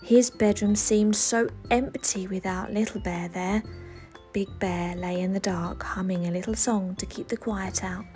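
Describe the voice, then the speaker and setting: A woman storyteller reading a children's bedtime story aloud, expressive, with dramatic emphasis. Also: medium pitch, a little slow, soft background music. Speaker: storyteller, channel host.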